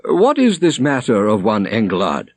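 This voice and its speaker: A man's voice: fairly low pitch, wildly swooping pitch, moderate pace, dry recording, exaggerated voice. A man voicing a pompous, imperious monastery prior in an audiobook, declamatory and commanding. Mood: demanding, imperious. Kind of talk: audiobook character acting.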